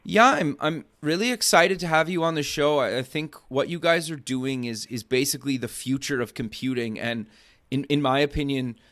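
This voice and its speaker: A man's voice: mid-range voice, moderate pace, a bit bright. Male podcast host, mid-range voice, inquisitive and conversational, loosely phrased interview delivery. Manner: hedging, inquisitive, says "kinda" often. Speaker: podcast and radio show host.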